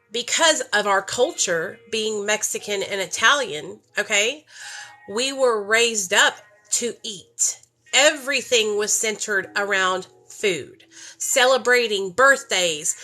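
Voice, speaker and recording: A woman's voice: fairly high pitch, pushed and bright. Chatty woman telling her story. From rural United States. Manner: candid, chatty, addresses listeners directly. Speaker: speaker sharing her recovery story. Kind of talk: personal testimony to a group.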